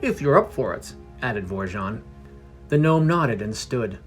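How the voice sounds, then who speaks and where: Guy reading out a fantasy adventure story, measured, middle pitch, music behind him. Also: expressive. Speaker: podcast narrator. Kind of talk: scripted fantasy story narration. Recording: music under the voice.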